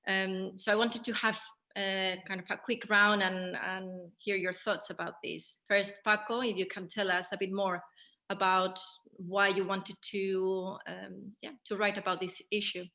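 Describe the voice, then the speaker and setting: A woman with a bright, forward voice hosts a panel Q&A. Her pitch sits in the middle, and she feels her way hesitantly through each question. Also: flat delivery, measured pace. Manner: hesitant, searching for words. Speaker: Q&A moderator. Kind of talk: hosting a panel discussion.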